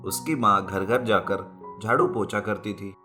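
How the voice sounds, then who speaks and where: Low-pitched man narrating a story over music. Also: a little slow. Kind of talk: scripted storytelling narration for an animated folk tale. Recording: music bed behind the voice. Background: background music.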